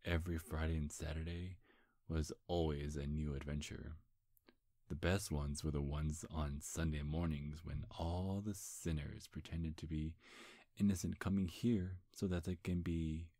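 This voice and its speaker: A man's voice: rumbling low, flat delivery, a little slow, mellow, dry recording, clean studio sound, full character voice. A man playing a charming, teasing demon seducer in an intimate ASMR roleplay, sly and flirtatious, with hesitant stammers. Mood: flirtatious, coy. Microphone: close, dry microphone.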